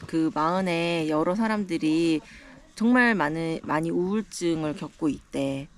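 A woman's voice: deep voice, a little slow. Reflective woman in her forties. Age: 40s. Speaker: vlogger. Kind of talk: casual chat while hiking. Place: mountain trail outdoors.

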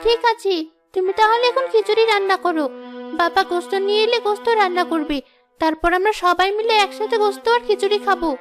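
A woman's voice: very high voice, fast talker, over music. A woman voicing a poor, innocent young village girl in a cartoon, with a childlike, plaintive delivery. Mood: hopeful. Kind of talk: Bengali moral-story cartoon dubbing.

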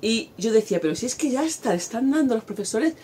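A woman's voice: on the high side, lively intonation, quick pace, mellow, slight background noise. A woman in her forties from Spain with a Spanish accent, talking animatedly to camera outdoors in a garden and gesturing as she speaks. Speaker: vlogger. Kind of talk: casual talk to camera.

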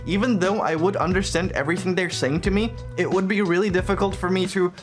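A man in his twenties whose first language is Czech, talking to camera in an animated, casual vlog from a small bedroom. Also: high voice, quick pace. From Czech Republic. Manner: chatty and animated, self-deprecating jokes. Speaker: language vlogger. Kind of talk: casual scripted talk to camera. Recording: music playing under the voice.

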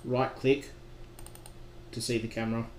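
A man with a mid-pitched, fairly monotone voice walks through setup steps as a trainer. His delivery is plain and instructional. The recording is echoey, like an untreated room. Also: measured pace. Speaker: software trainer. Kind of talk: instructional screen-recording narration. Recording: roomy echo with background noise.

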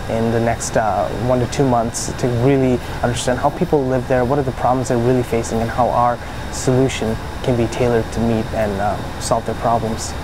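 A young man, at a middle pitch, explains things eagerly. Steady background noise from the office fills the recording. He speaks in a lab office. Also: brisk, few pauses. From Bangladesh. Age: young adult. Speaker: engineering student, start-up founder. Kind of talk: interview answers.

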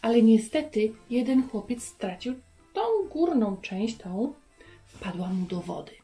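A woman in her thirties telling stories to young children in an expressive way, often putting questions to her listeners. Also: a little high, unhurried, faint music behind. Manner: expressive, addresses viewers with questions. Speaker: host of a children's Bible lesson. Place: furnished room indoors.

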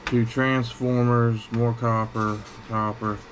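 A man's voice: medium pitch, flat delivery, takes it slowly. A man giving a casual hands-on how-to, plain-spoken, talking in short phrases as he works at an indoor bench. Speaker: scrapping tutorial host. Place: indoor cluttered workbench. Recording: clearly noisy workshop ambience.

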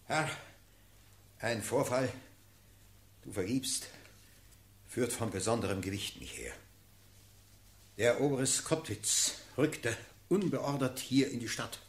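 A loyal officer in a classical radio drama. He has a mid-pitched voice and delivers formal verse with earnest urgency. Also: slow pace, pauses often. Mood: grave, urgent.

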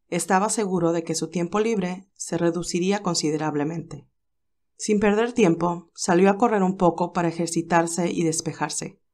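A woman reading a romance novel aloud in a calm, even storytelling voice. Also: lowish voice. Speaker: narrator reading a fan novel. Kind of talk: audiobook narration.

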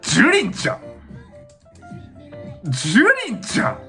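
A young adult man giving casual reaction commentary, excitable and often exclaiming in awe, recorded indoors in a small room. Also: sits high, measured pace, vocal fry, faint music behind. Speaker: dance reaction channel host.

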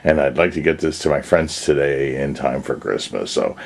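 A man's voice: very deep voice, expressive, normal speed, gravelly. A man giving a casual, unscripted, plain-spoken demonstration as a hobbyist restorer, recorded indoors on a camera's built-in microphone.

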